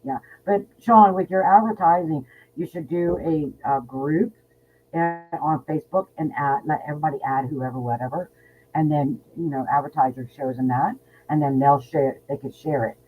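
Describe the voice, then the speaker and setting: A woman's voice: sits low, normal speed, faint music behind. A woman in her fifties, a psychic medium guest, talking bluntly and outspokenly in a casual interview, often repeating words. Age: 50s. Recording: tinny phone line with faint noise.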